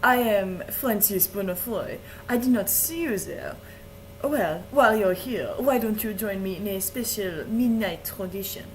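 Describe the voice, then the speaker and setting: A woman voicing a vain, flirtatious adult Frenchman who reads verse aloud in a slow, suggestive way. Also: mid-range voice, slight background noise, close to an everyday voice, poor audio. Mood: sultry. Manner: slow, lingering, suggestive delivery with chuckles. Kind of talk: playful dramatic reading of verse.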